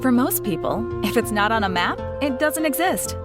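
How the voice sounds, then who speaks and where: With music behind, a woman plays a young female shopkeeper from a game. Her voice is fairly high and composed, politely explaining things in a matter-of-fact way. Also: lively intonation, moderate pace, close to an everyday voice. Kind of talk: video game character acting.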